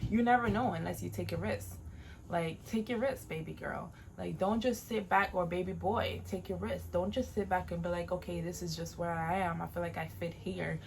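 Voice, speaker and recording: A woman in her late twenties, originally from Jamaica, talks to her viewers in a relaxed, encouraging way. She leans on repeated phrases to drive her points home, in a somewhat low voice. A faint hiss sits under the recording. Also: moderate pace, gravelly. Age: late 20s. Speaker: vlogger. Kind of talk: casual advice vlog.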